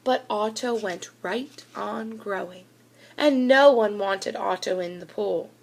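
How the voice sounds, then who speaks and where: A woman reading a children's storybook aloud in an expressive narrating style. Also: medium pitch, slow pace, vocal fry, low-quality recording.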